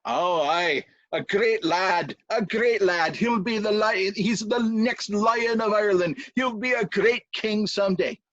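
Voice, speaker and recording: Man performing storybook Irish king, blustering, grandiose, high animated voice, repeats himself, dull recording. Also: moderate pace, dry recording, exaggerated voice. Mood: doting, proud. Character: blustering, henpecked old-time Irish king.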